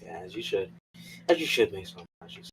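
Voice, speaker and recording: A man's voice: lowish voice, animated, fast talker. A man playing a tabletop roleplay, bantering casually with slangy teasing, with some background noise. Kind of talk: casual banter during a game.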